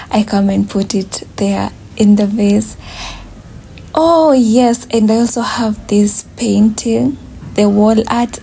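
A young woman from Kenya chatting casually as she shows her small flat, filmed on a phone. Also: fairly high pitch, creaky, a little hiss or hum, poor audio. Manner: chatty, relaxed, laughs often. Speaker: vlogger giving a home tour. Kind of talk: casual house tour narration. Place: small bedsitter flat, indoors.

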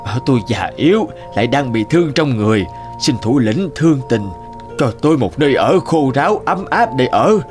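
A man's voice: medium pitch, big pitch swings, moderate pace, over music, close to an everyday voice. A man voicing a wily old male crow in a cartoon fairy tale, deferential, pleading and hesitant. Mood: humble pleading.

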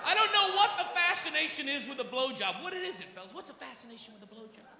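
A very high-voiced man does brash stand-up on a big stage, working a handheld mic. His delivery is emphatic and often climbs toward shouting. The hall rings around him, with a clearly audible crowd. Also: expressive, fast. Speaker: stand-up comedian. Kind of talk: stand-up comedy routine. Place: large theater stage before an audience. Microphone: handheld stage microphone. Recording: echoey hall with noisy crowd. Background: audience noise and laughter.

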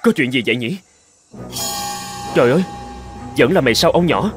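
A man's voice: mid-range voice, expressive, normal speed, soft background music, natural voice. A man playing a tender, earnest young scholar in a folktale cartoon, speaking in formal old-fashioned phrases. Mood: surprised, kindly. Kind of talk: dramatic cartoon voice acting.

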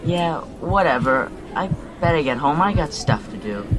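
A woman's voice: deep voice, animated, normal speed, over music. A woman playing a moody, dismissive teenage boy in an amateur radio play. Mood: irritated.

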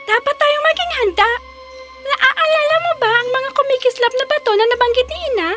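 A woman's voice: very high voice, fairly quick, music in the background, voice pushed up. A woman voicing a timid, sweet little girl in a fairy tale cartoon, in an anxious, childlike manner. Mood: cautious.

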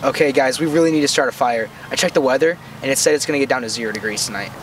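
A man's voice: medium pitch, racing, far from the mic, soft background music, close to an everyday voice, low-quality recording. A young man playing an earnest male camper in an amateur comedy sketch, talking outdoors. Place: outdoors, open field.

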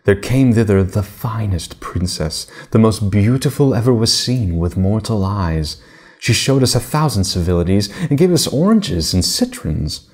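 A man's voice: on the low side, expressive, normal speed, a little mellow, close to an everyday voice. A man voicing a haughty, scornful young stepsister in a storybook fairy tale, with boastful, disdainful, old-fashioned formal speech.

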